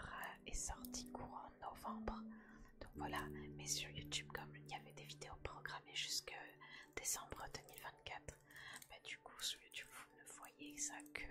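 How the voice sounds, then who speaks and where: A woman speaking in a breathy, unvoiced whisper, chatting casually and unhurriedly to viewers in an ASMR style. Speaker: ASMR video creator and host.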